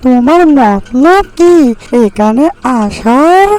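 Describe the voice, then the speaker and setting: A woman voicing a watchful, protective mother duck in a fairy-tale cartoon, speaking in a deliberate, cautioning way. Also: high voice, lively intonation, darkish tone, rough recording. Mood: suspicious, scolding.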